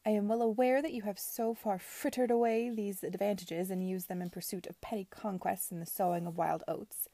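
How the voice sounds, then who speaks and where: A woman's voice: medium pitch, fairly even intonation, a little fast, few pauses, dark tone, no room sound, lightly acted. A woman voicing an imperious older aristocratic aunt who speaks curtly and condescendingly. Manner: commanding, curt, condescending.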